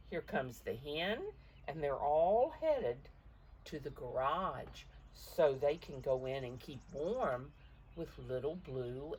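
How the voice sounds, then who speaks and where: Low-voiced older woman reading slowly to preschoolers. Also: a little mellow. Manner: simple explanations, questions to the listeners, emphatic. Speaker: children's storybook reader and teacher. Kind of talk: picture-book read-aloud for preschoolers, talking to the children. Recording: distant mic with faint background noise.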